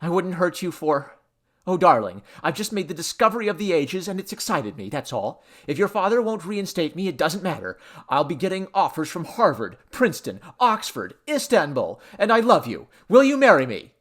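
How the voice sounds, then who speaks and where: A man voicing an excitable, exultant young scholar in a story, eager and babbling. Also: fairly high pitch, measured pace, hardly any pauses, pitched above the performer's own voice, exaggerated voice. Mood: earnest, excited. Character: excitable, exultant male archaeologist in love.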